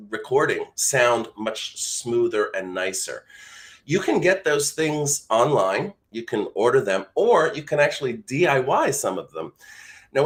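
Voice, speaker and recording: A man's voice: mid-range voice, a bit bright. A man teaching voice-over in an informal, conversational way, explaining step by step as an instructor.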